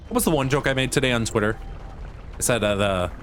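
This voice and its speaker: A man's voice: mid-range voice, fast, fairly bright tone. A man streaming a video game and chatting casually, rambling with restarts and self-deprecating asides, over game music. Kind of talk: casual chat while gaming.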